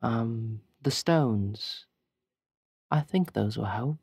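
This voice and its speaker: A weary, evasive ghost woman, acted out by a man at a tabletop game. The delivery is slow and hesitant, with cryptic turns. The recording is close and dry. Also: mid-range voice, gravelly, a little mellow, husky, no room sound, exaggerated voice. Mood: tired, reassuring. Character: weary, evasive female ghost crew member.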